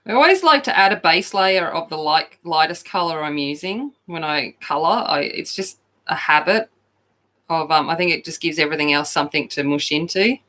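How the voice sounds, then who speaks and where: A woman hosting a coloring channel, chatting loudly and casually with viewers while she colors, often poking fun at herself. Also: deep voice, moderate pace. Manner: loud, chatty, self-deprecating.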